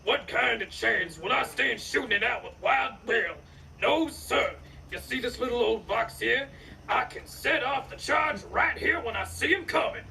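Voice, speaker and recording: A man playing a menacing, scheming outlaw henchman with a gravelly, sharp voice in a Western radio drama. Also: very high-pitched, animated, unhurried, full character voice. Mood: gloating. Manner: threatening, sneering. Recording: distant stage microphone, faint noise.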